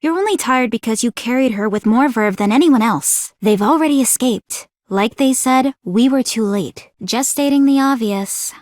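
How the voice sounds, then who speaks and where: An actress playing a calm, analytical young woman in a video game, speaking in a measured, formal and articulate way. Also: fairly high pitch, no echo, natural voice. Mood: firm, cool. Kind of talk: scripted video game character dialogue.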